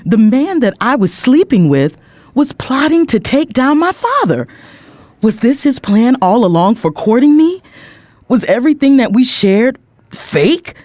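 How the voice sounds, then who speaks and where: A woman playing an indignant lawyer who narrates her own story in the first person, dramatic and expressive, with faint background noise. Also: high-pitched, unhurried, no room sound, exaggerated voice. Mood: hurt, indignant.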